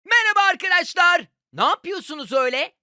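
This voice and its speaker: A woman voicing a friendly, alert, excitable little toy character in a children's cartoon, chirpy, with music behind. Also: extremely high pitch, fast, no echo, studio quality, heavily acted. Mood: cheerful, amazed.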